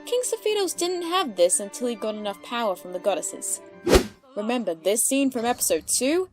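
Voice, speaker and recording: A woman's voice: a little high, sing-song, normal speed, over loud music. A woman in her twenties with an Australian accent, giving casual explanatory commentary as the creator of an audio drama.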